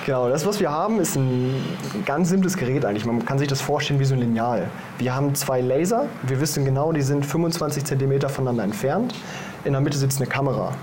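A man's voice: medium pitch, fast, darkish tone, a little hiss or hum. A young man from Germany giving casual interview answers, explaining things informally step by step. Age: young adult. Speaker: podcast guest, marine biologist.

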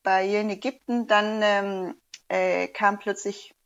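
A woman's voice: medium pitch, flat delivery, takes it slowly, fairly bright tone, muffled recording. A woman whose first language is Danish, a diving instructor, chatting casually in a hesitant way with many fillers and restarts. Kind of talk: casual interview chat.

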